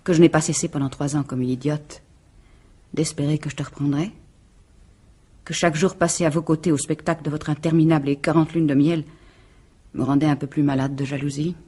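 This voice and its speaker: A woman's voice: low voice, fairly even intonation, brisk, pauses often, mellow, slightly rough. An actress playing a bitter, jealous woman bent on revenge, theatrical and sardonic, in 1950s French radio drama style. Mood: bitter, wounded. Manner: theatrical, sardonic, menacing monologue. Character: bitter, jealous, vengeful scorned woman turned cold killer.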